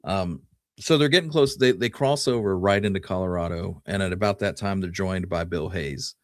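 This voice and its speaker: A man hosting a podcast, telling Western history in a conversational, somewhat halting way. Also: low-pitched, dry recording, clean studio sound. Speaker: podcast host.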